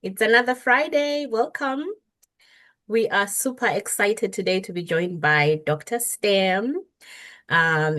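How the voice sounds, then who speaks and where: A woman's voice: fairly low pitch, fairly bright tone. Woman hosting a podcast interview. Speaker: podcast host.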